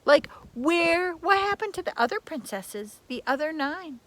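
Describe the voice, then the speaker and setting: An older woman reading a children's story dramatically, with emphatic drawn-out words and chatty asides to her listeners. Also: very high-pitched, expressive, fairly bright tone. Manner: theatrical, emphatic, drawn-out adjectives. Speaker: teacher reading stories to children.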